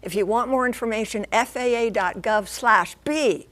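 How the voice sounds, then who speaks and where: High-voiced American woman, folksy news correspondent. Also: moderate pace. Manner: animated, folksy, emphatic. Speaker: transportation correspondent. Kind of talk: conversational live TV news explainer.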